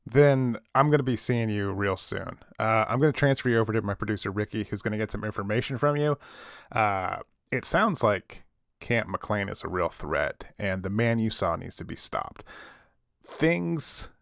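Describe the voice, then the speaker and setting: A man playing a sympathetic, intrigued radio talk show host, speaking in a low-key, reassuring, conversational way. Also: lively intonation, normal speed, vocal fry, no room sound, studio quality. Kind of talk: call-in radio show in an audio drama.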